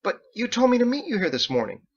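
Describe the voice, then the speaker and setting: Eager, wounded little boy, played by a male reader, high and pleading, storybook part, dull lo-fi sound. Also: huge pitch swings, fast talker, full character voice. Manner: pleading, earnest. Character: eager, easily hurt young boy.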